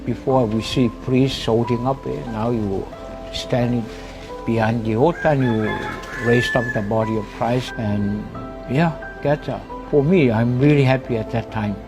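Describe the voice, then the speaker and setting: A man's voice: mid-range voice, fairly even intonation, unhurried, rough recording. A man from Kiribati with a Kiribati accent, a priest giving a personal testimony, often tagging phrases with "eh?". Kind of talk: personal testimony to camera in a mission film. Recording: music bed behind the voice.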